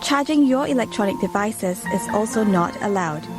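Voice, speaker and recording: A woman reading scripted safety instructions in a measured, instructional way. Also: medium pitch, animated. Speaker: airline safety video narrator. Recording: loud music under the voice. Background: music.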